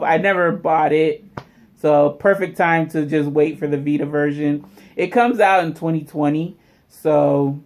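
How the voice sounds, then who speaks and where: Casual vlog host, mid-range pitch, unhurried delivery, informal and conversational. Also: darkish tone. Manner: informal, addresses viewers as y'all. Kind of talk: casual unboxing vlog commentary.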